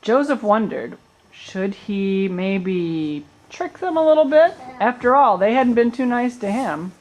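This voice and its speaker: A woman's voice: medium pitch, lively intonation, measured pace, darkish tone. Woman telling a story for a puppet show, chatty little asides like a storyteller. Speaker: narrator.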